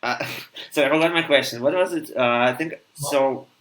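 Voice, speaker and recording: A man's voice: mid-range voice, normal speed, a crowd in the background, rough recording. A man hosting an interview over a video call, asking questions hesitantly and sometimes losing his train of thought. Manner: hesitant, loses track of questions. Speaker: talk show host.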